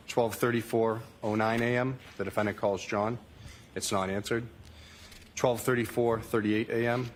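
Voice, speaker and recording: Low-voiced man giving matter-of-fact testimony. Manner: matter-of-fact, repetitive formulaic delivery. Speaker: state trooper testifying as witness. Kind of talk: courtroom testimony, reading out a call log. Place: courtroom witness stand. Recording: noisy courtroom recording.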